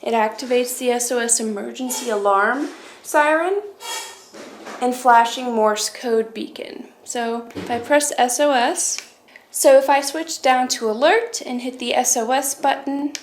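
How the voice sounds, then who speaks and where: A woman's voice: a little high, a little slow, a little hiss or hum. A woman presenting a casual product demonstration, explaining things step by step.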